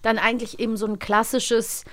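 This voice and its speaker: A woman's voice: fairly high pitch, level tone, a little fast, fairly bright tone. A woman co-hosting a podcast in a casual, conversational style. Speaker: podcast co-host. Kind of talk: casual chat.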